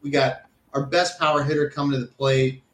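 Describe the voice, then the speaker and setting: A man's voice: medium pitch, steady tone, brisk, fairly bright tone. Man reminiscing in an echoey room. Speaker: former college baseball player, interview guest. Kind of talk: casual chat, reminiscing. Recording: roomy, echoing sound.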